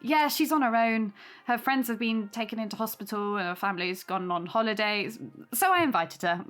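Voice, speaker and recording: A woman's voice: a little high, lively intonation, fairly quick, fairly bright tone, faint music behind. An actress playing a hospitable young British mother in an audio drama, polite, apologetic and reassuring. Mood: friendly, apologetic. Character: Taylor, friendly hospitable young mother hosting Christmas. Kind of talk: naturalistic audio drama acting.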